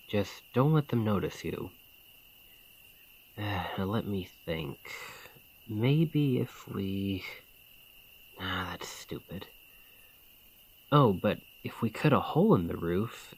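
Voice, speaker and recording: A man acting a bandit leader in an ASMR fantasy roleplay, speaking in a colloquial, deliberate, conspiratorial manner. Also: low voice, breathy edge, exaggerated voice. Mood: pondering. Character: gruff but caring male bandit leader, scheming, informal. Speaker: voice actor in audio roleplay.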